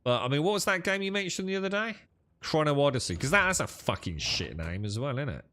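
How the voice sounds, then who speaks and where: A man's voice: on the high side, sing-song, fast, bright, forceful tone, slight background noise. A young man streaming games, chatting with friends in a blunt, sweary, dismissive way. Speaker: gaming streamer. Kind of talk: casual gaming stream chat.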